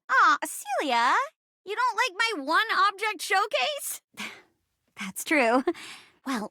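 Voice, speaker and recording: A woman's voice: sits high, pushed and bright, no room sound, studio quality, full character voice. A woman voicing a peppy living chair in a video game, a theatrical, giggly and encouraging improv show host. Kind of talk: video game character voice acting.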